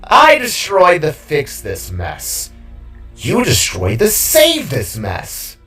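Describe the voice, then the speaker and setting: A man voicing a defeated villain king in an audio drama, bitter and gleefully unhinged, with a groggy, drawn-out, rambling delivery. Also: a little high, wildly swooping pitch, fairly bright tone, far from the mic, pitched above the performer's own voice, exaggerated voice. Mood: hissing. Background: music.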